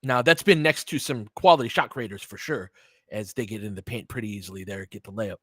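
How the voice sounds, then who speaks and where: A man's voice: on the low side, brisk, a bit bright, slightly rough, no echo, studio quality. A man calling a basketball game in a conversational, slangy way, swearing casually as he mixes play-by-play with analysis. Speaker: podcast host doing play-by-play.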